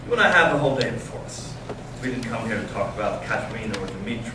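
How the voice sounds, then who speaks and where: A man acts out a bitter, searching young intellectual in a readers theater drama. He speaks deliberately and earnestly, with dramatic pauses. The sound is very echoey, picked up from a distance with clear background noise. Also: on the low side, very animated. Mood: challenging. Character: bitter, intense young intellectual Russian brother, searching and provocative. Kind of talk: staged readers theater reading. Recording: echoey room, distant mic, noisy amateur recording.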